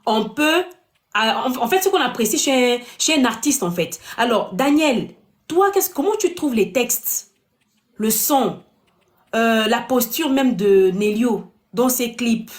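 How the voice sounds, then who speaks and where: A woman's voice: a little high, expressive, takes it slowly. A woman hosting a casual panel show, often repeating and rephrasing as she puts questions to her guests. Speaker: host of a web talk show. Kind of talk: casual panel discussion, asking guests for opinions.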